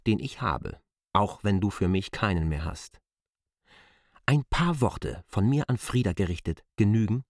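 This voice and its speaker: A stern, calculating surveyor in a radio play, cold and carefully reasoned. The voice sits low, and the pitch moves a lot. The sound is close and dry. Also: male voice, no echo. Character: K, a stern, scheming land surveyor, adult man. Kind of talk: German radio play acting.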